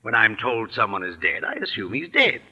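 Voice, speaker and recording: An actor playing a haughty, sardonic middle-aged literary critic in 1940s radio style, with a pompous, wordy way of talking. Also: male voice, fairly low pitch, big pitch swings, a little fast, pushed and bright, heavily acted. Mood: haughty sarcasm. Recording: muffled-free but rough vintage 1940s radio recording.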